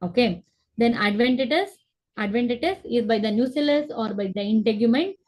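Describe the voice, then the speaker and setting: A young woman with a fairly high voice teaches a biology lesson from a room at home. She speaks into a laptop webcam on a video call. She goes through each step slowly, repeats the key words, and keeps checking that her students understand. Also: lively intonation, darkish tone, poor audio. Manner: explains step by step, repeats key terms, asks students to confirm. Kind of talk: online class lecture.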